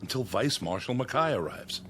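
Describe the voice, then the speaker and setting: An actor playing a dutiful, open-minded major in a radio drama, speaking in a measured, probing way. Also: male voice, medium pitch, animated, natural voice. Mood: calm, probing.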